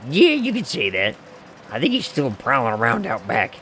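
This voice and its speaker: A man voicing a friendly, teasing hobo drifter with a folksy, unhurried way of talking, reading a game character's lines aloud. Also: mid-range voice, wildly swooping pitch, bright, forceful tone, a little hiss or hum.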